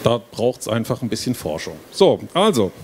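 A man's voice: mid-range voice, moderate pace. A middle-aged man, a university lecturer, giving an informal explanatory presentation into a handheld microphone on a stage. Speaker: university professor presenting a project. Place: stage in a book fair hall before a small audience. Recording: steady trade-fair hall noise.

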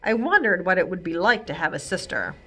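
A woman reading a children's chapter book aloud, giving the characters expressive, lively voices. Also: on the low side, a little fast, breathy edge. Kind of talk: storybook read-aloud. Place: library, indoors.

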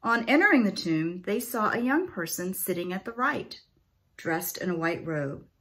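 A middle-aged woman reads scripture formally, with deliberate, careful phrasing. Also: on the low side, sing-song, fairly bright tone. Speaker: scripture reader. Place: small room at home.

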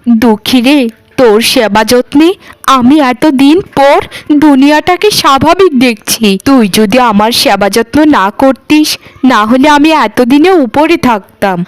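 A woman's voice: high voice, unhurried, no room sound, rough recording. A woman voicing an arrogant rich mistress in a cartoon, scornful and commanding. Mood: remorseful. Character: haughty rich mistress, later sick and humbled. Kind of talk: cartoon voice acting.

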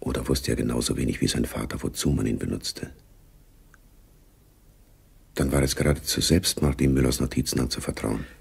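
A man narrating a spy radio drama gravely, with a deep, gravelly voice. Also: fairly quick, pauses often, heavily acted.

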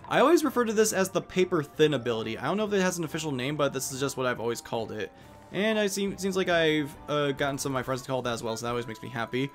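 A man's voice: on the high side, expressive, rapid-fire. A young man giving casual, rambling, self-deprecating commentary as he plays a video game. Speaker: let's play host. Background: video game music.

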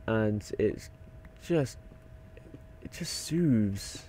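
A young man with a croaky voice, rambling and swearing casually while narrating his gameplay. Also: on the low side, animated, drawn-out words, dark tone, slight background noise. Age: young adult. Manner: rambling, swears often, mutters to himself. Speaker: game video maker.

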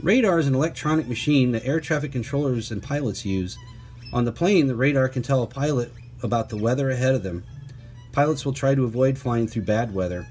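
A man reading a children's picture book aloud as a teacher, pausing for conversational asides and questions to his young listeners. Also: medium pitch, a little mellow, faint music behind.

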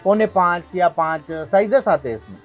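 High-voiced Hyderabadi craftsman explaining. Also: expressive, normal speed, faint music behind. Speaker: craftsman being interviewed. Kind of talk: casual interview explanation. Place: small instrument workshop.

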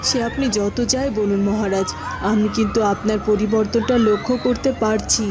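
Fairy-tale queen, female actor: medium pitch, aggrieved and crafty, prominent music bed. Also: fairly even intonation, normal speed, crowd noise, close to an everyday voice. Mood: reproachful. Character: neglected, scheming, reproachful queen. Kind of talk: fairy-tale cartoon voice acting.

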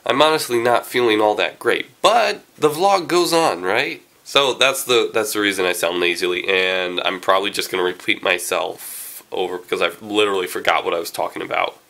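A man's voice: deep voice, normal speed, creaky, hardly any pauses, sharp tone, no echo. A young man with a cold, sounding nasal, rambling casually to a handheld camera while driving his pickup. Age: young adult. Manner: rambling, chatty, loses his train of thought. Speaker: vlogger. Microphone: handheld camera at arm's length.

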